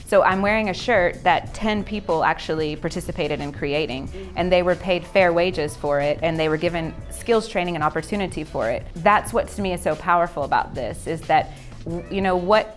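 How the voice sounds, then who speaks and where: A woman from Texas in her thirties, a social enterprise founder, answering interview questions in a lively, earnest storytelling way with casual fillers. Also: lowish voice. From Texas, USA.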